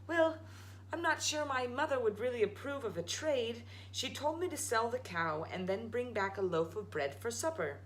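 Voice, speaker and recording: A woman voicing an earnest, naive, excitable farm boy in a storybook. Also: fairly high pitch, moderate pace, voice pushed up, natural voice, low-quality recording. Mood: hesitant, doubtful. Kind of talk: storybook read-aloud.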